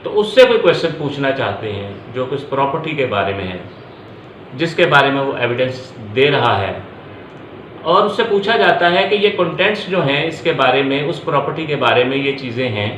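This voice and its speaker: A man's voice: mid-range voice, lively intonation, normal speed, fairly bright tone. A man giving an explanatory law lecture, going step by step and repeating key points as he teaches. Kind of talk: explanatory lecture mixing Urdu and English. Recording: echoey room, faint background noise.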